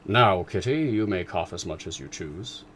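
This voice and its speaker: Low dry gentleman's voice, ironic, teasing, unhurried, read by a male narrator. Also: animated, slight background noise. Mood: mock sorrow. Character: dry, teasing older gentleman, sardonic father.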